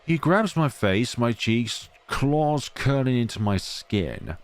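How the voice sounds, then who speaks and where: A man's voice: mid-range voice, big pitch swings, slow pace, smooth. A man reading a horror visual novel aloud as a let's player, adding casual, wry, understated asides. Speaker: video game let's player.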